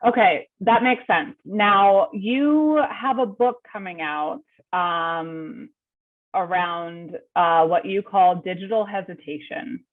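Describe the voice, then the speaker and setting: A woman hosting an interview with a cold, sounding sniffly. She asks measured questions and gives brief affirmations. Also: on the low side. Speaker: host. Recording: tinny remote call audio.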